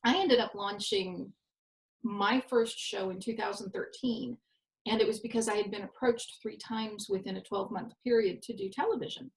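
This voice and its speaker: A woman and network host from Southern California, telling stories in a self-deprecating, animated way with a somewhat nasal voice. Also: medium pitch, normal speed, vocal fry. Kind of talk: casual conversation. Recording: roomy echo.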